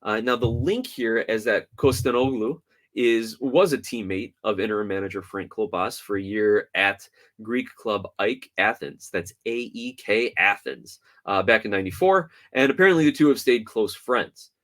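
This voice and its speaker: A man hosting a soccer podcast solo, talking through results and rumors in an animated, opinionated way. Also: moderate pace. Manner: animated, opinionated, swings from loud to low.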